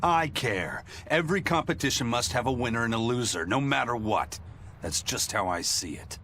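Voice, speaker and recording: A man's voice: mid-range voice, expressive, normal speed, pushed and bright, slight background noise. A man playing a stoic, principled, philosophical warrior in a video game. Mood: earnest.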